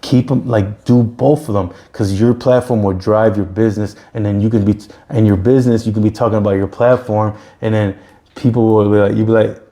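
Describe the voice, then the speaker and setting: A man's voice: fairly low pitch, fairly even intonation, fairly quick, dark tone. A man in his forties hosting a podcast, giving an earnest, repetitive pep talk in casual chat. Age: 40s. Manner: earnest, repeats himself, pep-talk style. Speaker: podcast host.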